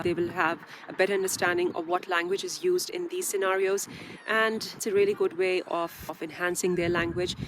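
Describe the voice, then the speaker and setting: A woman who speaks English as a second language, giving explanatory advice as a video presenter in a measured, deliberate way with pauses mid-phrase. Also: lowish voice, noisy background. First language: a language other than English. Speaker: video presenter, parent advocate. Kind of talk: explanatory advice talk to camera.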